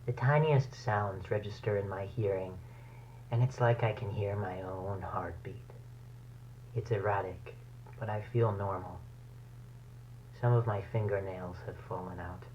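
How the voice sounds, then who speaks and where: An actor playing an anxious scientist slowly turning into a bat creature, recording a horror audio diary in a measured, brooding, halting way. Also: male voice, on the low side, steady tone, lightly acted. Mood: worried. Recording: dull, humming, noisy tape recording.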